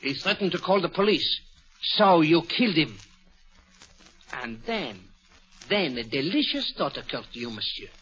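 An actor playing a fussy, clever Belgian detective with a French accent, in theatrical 1940s radio acting. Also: male voice, a little high, a little slow, pauses often. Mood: probing. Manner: theatrical, lively, coaxing and ironic. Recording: dull old radio broadcast.

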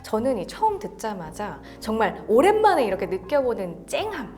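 A woman's voice: fairly high pitch, wildly swooping pitch, moderate pace, warm tone, faint music behind. A woman co-hosting a product review, speaking conversationally with an attentive, enthusiastic manner. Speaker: co-host of audio equipment review.

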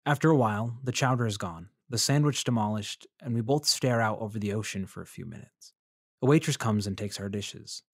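An actor playing a polite, measured freelance journalist who narrates his investigation in a fiction podcast. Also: on the low side, no room sound, studio quality. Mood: somber, observant. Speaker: narrator and lead character.

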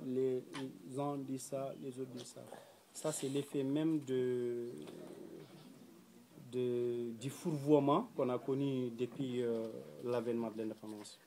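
A young man from Mauritania speaking at a round table in a small room, earnest and measured, pausing often with fillers as he thinks. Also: mid-range voice, fairly even intonation, dark tone. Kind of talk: public talk at a round table. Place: small indoor room with pale walls.

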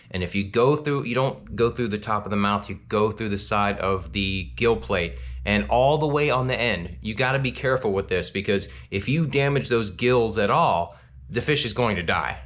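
A relaxed man in his thirties talks through his fishing gear in a loose, rambling way, full of ums and false starts. His voice sits a little low. He is recorded indoors in a small home office, speaking to a desk camera. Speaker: fishing channel host. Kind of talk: casual gear talk to camera.

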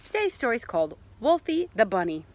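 A middle-aged woman reading a picture book aloud to children outdoors in an expressive way, pausing to ask questions. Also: a little high. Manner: expressive, asks listeners questions. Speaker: library story reader. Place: outdoors in a leafy yard.

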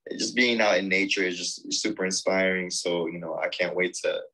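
A man with a very low voice talks about his music over a video call. He is eager and loose, full of fillers and restarts, heard through a laptop mic in a small home studio. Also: fairly even intonation, a little fast, sharp tone, rough recording. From United States. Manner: enthusiastic, rambling with many fillers. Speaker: techno DJ and producer. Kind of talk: casual video call interview. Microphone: laptop or webcam on video call.

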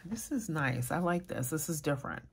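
A soft-spoken woman chatting casually as a vlogger, murmuring her thoughts quietly as she talks. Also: low voice, lively intonation, moderate pace, vocal fry, slight background noise. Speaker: fragrance vlogger. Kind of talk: casual chat.

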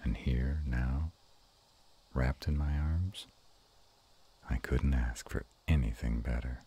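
A man playing an affectionate, bashful, gruff were-dragon lover in an intimate romantic roleplay audio, with a slow, teasing murmur. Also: warm tone, exaggerated voice. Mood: loving. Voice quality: very deep and husky.

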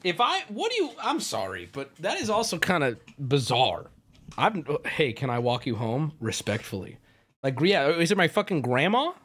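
A man streaming and reacting to a video, with crude, excitable joking commentary. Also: a little high, normal speed. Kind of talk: casual live-stream commentary.

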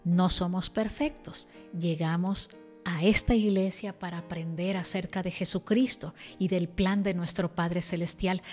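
A woman's voice: lowish voice, a little mellow. An older woman speaking in an earnest, reflective way as she presents a devotional scripture lesson to camera. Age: older, about 60. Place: indoor room, seated at a desk. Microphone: desk microphone. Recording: music playing behind the voice. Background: background music.

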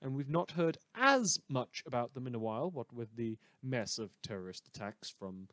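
A man's voice: mid-range voice, animated, normal speed, a bit bright, lightly acted. A man playing a smug, rambling small-town radio news host in a fan audio drama, theatrical and wry, repeating phrases for emphasis. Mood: wry, musing.

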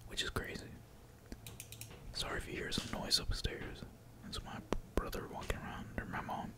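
Whispering man, casual gaming ASMR. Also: normal speed. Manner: slangy, swearing, casual. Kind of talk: whispered ASMR gameplay commentary. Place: indoors at home, at a computer. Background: keyboard typing.

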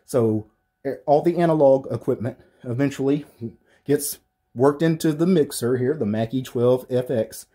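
A man in his thirties with a deep voice, casually walking through his home studio gear, rambling with fillers and restarts. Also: normal speed, dark tone. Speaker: tech YouTuber. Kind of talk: casual equipment walkthrough. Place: small home studio with acoustic foam panels.

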